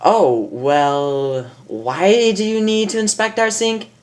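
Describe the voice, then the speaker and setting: A woman's voice: medium pitch, flat delivery, takes it slowly, darkish tone, pitched above the performer's own voice, natural voice. A woman voicing a polite, motherly pony shopkeeper from a cartoon, wary but helpful.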